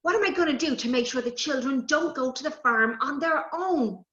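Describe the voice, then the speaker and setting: A cross farmer father, as a woman reading aloud puts him on. He scolds sternly with a forceful, bright edge. The recording is roomy and a little muffled. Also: high-pitched, moderate pace. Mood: grumbling. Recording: roomy, slightly dull recording.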